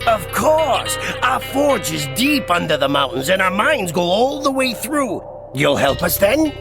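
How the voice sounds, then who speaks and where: A man's voice: high-pitched, big pitch swings, measured pace, gravelly, music in the background, full character voice. A man voicing an eager, urgent dwarf miner in a fantasy video game cutscene, exclaiming as he talks.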